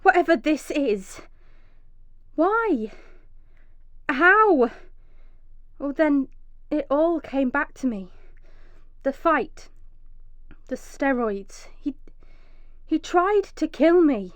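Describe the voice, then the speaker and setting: An actress playing a frightened, injured young mother in a radio drama, emotional and swinging from hushed dread to pleading. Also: high-pitched, big pitch swings, very slow, pauses often, no room sound, full character voice. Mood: panicked disbelief. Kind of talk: radio drama monologue with acted dialogue.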